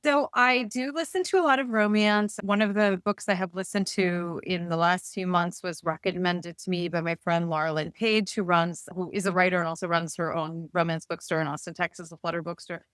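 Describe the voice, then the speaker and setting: A woman's voice: on the low side, steady tone, fairly quick, hardly any pauses, a bit bright, clear, resonant tone, no echo. A woman speaking enthusiastically and effusively as a guest author in a casual interview.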